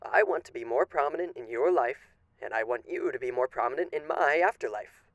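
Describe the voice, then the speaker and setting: A man's voice: medium pitch, brisk, no echo, natural voice. A man playing a suave, menacing radio demon in an ASMR roleplay, speaking with genteel, teasing, theatrical politeness. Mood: coaxing, condescending.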